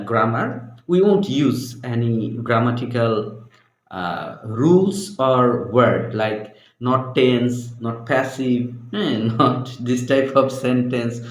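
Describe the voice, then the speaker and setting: Bangladeshi man, language trainer, slow deliberate delivery with many hesitations, echoey room. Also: mid-range voice. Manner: halting, many fillers. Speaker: English trainer. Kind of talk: unscripted conversation.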